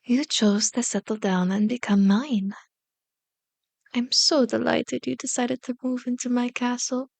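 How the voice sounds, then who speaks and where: A woman playing a seductive, manipulative female vampire in an ASMR roleplay, speaking softly and coaxingly, drifting into breathy whispers close to the microphone. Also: fairly high pitch, no room sound. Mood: tender, possessive. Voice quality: soft, breathy whispers mixed with hushed voice. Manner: slow, soothing, coaxing, intimate. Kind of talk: ASMR roleplay, hypnotic soft speech and whispering. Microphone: close microphone, dry.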